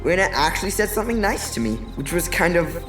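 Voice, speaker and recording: A man's voice: sits high, very animated, fast talker, music in the background. An actor playing an earnest, nervous teenage boy adventurer in an audio drama. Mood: anxious, earnest.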